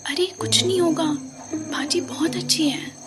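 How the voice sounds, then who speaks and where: A woman's voice: sits high, a little slow. A woman playing a bubbly, affectionate younger sister in an audio drama, coaxing and mixing English into her speech. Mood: sheepish, coaxing. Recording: music bed behind the voice.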